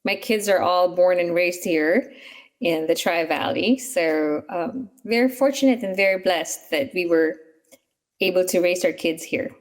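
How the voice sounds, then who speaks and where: A woman's voice: lowish voice, a little slow. A middle-aged woman from the Philippines, a real estate agent, answering interview questions earnestly with fillers. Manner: earnest, explanatory, with many fillers.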